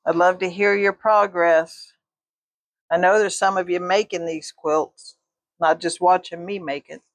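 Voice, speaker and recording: A woman's voice: lowish voice, fairly even intonation, measured pace, no echo. An older woman teaching a quilting tutorial, chatting with her viewers while she sews. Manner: folksy, talks to viewers while sewing.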